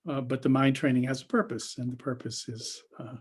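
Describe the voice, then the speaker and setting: A man with a husky voice leading an unscripted guided meditation as a teacher, speaking haltingly with long pauses and trailing phrases. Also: medium pitch, little pitch movement.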